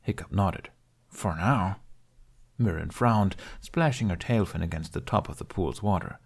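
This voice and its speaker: A man with a lowish, creaky voice reads scripted fiction. He narrates in the manner of an audiobook. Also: normal speed, a little mellow.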